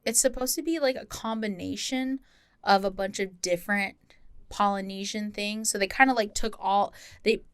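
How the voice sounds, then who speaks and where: A woman from Hawaii co-hosts a podcast in an informal, chatty voice. She explains things conversationally at a middling pitch. The sound is dry, with very little room to it. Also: normal speed, a bit bright, clear, resonant tone, no echo. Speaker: podcast co-host. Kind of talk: casual podcast chat.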